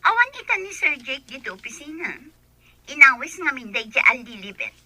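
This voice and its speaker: A sneaky office tattler in a radio drama. Her voice is very sharp and high, dry and close, with an insinuating, deferential lilt. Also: big pitch swings, normal speed, dry recording, exaggerated voice. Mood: secretive, deferential. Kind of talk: Ilocano radio drama acting.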